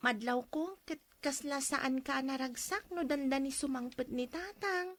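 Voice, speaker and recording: A woman playing a gentle, concerned young daughter in a radio drama, speaking in a plaintive, questioning way. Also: high-pitched, measured pace, dry recording. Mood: worried, questioning.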